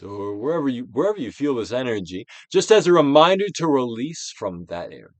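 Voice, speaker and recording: Man, mid-pitched voice, theatrical audiobook narrator, very animated intonation, deliberate guided-exercise delivery, dramatic emphasis. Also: no room sound. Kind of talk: dramatic reading of a self-help book, guided exercise.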